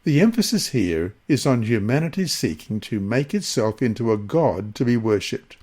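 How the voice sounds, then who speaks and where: A man reads a scripted Bible lesson and scripture in a solemn, measured, deliberate manner. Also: medium pitch, big pitch swings, a little mellow, breathy edge. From Queensland, Australia. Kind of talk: scripted scripture reading and narration.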